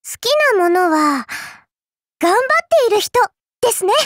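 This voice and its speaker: A woman's voice: very high-pitched, moderate pace, no echo, clean studio sound. A woman voicing a childlike, fairy-like game girl, cutesy and polite, with a sweetly teasing, faintly condescending manner. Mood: sweetly eager. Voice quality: cutesy, girlish. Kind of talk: Japanese mobile game character voice lines.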